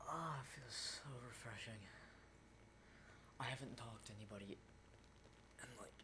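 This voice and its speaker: A man's voice: mid-range voice, natural voice. Whispering, jittery male apocalypse survivor acted by a man. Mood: awkward, relieved. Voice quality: breathy whisper. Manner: halting, repetitive, insistent, often checking with "okay?". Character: jittery, hardened male zombie apocalypse survivor, socially rusty. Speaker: ASMR roleplay performer. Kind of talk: whispered ASMR roleplay monologue. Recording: clearly noisy close whisper recording.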